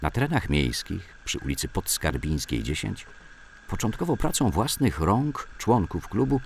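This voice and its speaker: A man's voice: deep voice, big pitch swings, normal speed, creaky, slight background noise. A man reads scripted memoirs as a documentary narrator. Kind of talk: scripted documentary narration of sports-club recollections.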